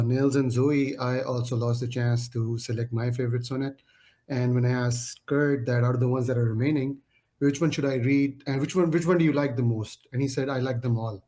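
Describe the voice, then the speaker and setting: Man, native Urdu speaker, reciting slowly. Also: medium pitch, dark tone. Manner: hesitant with fillers when chatting, drawn out when reciting. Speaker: poetry reader. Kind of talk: conversational intro, then slow sonnet recitation.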